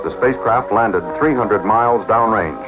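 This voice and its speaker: An American man reading formal, measured scripted narration for a vintage educational documentary. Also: on the low side. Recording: dull vintage film soundtrack with music. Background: music.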